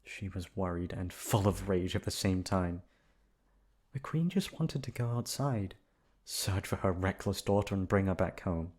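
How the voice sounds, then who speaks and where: A man's voice: low voice, expressive, measured pace, a little mellow, breathy edge. A man narrating a bedtime storybook tale as a voice actor, in an ASMR sleep-aid style.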